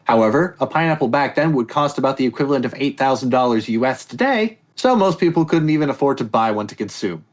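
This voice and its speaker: A man hosts a podcast quiz round with an animated, swinging delivery. He reads the statements aloud and keeps breaking off into jokes and tangents. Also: medium pitch, quick pace, few pauses. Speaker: quiz host. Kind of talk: casual comedy podcast quiz.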